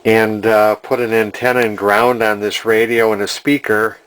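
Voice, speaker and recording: Low-pitched man thinking aloud at his workbench. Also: flat delivery, moderate pace, a bit bright, clean tone, low-quality recording. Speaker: hobbyist radio repairer, presenter. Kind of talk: informal hands-on repair demonstration. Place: indoor workshop at a workbench.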